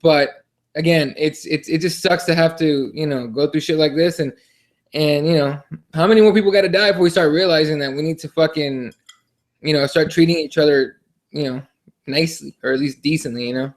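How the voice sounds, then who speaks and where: A man co-hosting a casual sports talk podcast, speaking in a halting, repetitive way with loose, sweary language. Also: on the high side, steady tone. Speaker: podcast co-host.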